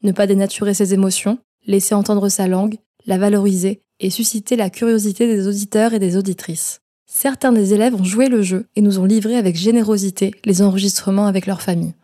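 A woman narrator reading a script in a measured way. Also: mid-range voice, fairly even intonation, mellow.

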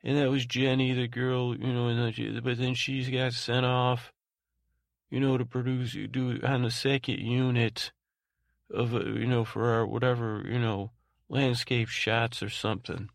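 A man hosting a bedtime podcast in a lulling, soothing tone, rambling haltingly, repeating himself and trailing off mid-phrase. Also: mid-range voice, level tone, gravelly, dry recording, clean studio sound. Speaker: podcast host. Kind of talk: rambling bedtime monologue to put listeners to sleep.

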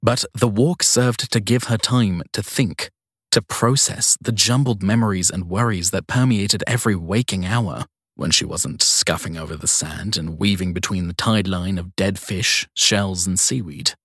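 A man with a fairly deep, husky voice narrates crime fiction as an audiobook. The sound is close and dry, with no room around it. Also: measured pace, fairly bright tone, dry recording.

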